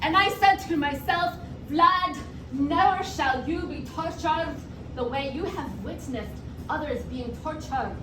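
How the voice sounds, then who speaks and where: Woman performing a booming, high-pitched theatrical warlord. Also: measured pace. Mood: grandiose. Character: teenage boy rehearsing as a grandiose Wallachian warlord. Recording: roomy live event, distant mic, loud noise.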